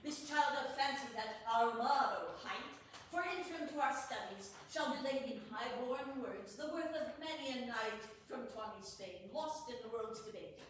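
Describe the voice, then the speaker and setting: A woman's voice: on the high side, animated, normal speed, close to an everyday voice. A woman playing a lordly male courtier in a Shakespearean stage comedy, declaiming in a mock-pompous way. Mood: amused, grand. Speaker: amateur stage actor. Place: echoey room, distant mic at live stage reading. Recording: very echoey hall, distant mic, faint noise.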